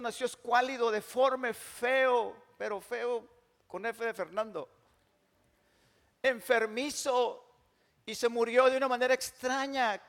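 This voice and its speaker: A male pastor, preaching emphatically and moving from loud declarations to hushed, drawn-out phrases. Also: very high voice. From Mexico. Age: 60s. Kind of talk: sermon. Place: large church hall. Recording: long church hall reverb.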